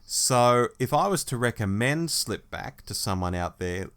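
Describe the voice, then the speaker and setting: A man's voice: mid-range voice, lively intonation, unhurried, fairly bright tone, clear, resonant tone. A man hosting a podcast, talking casually as he gives his review in a discussion. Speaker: podcast host.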